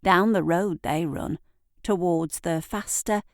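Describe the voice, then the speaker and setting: A woman narrating a scripted literary story in a measured, theatrical storytelling manner. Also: fairly low pitch, no echo, clean studio sound. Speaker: author and narrator.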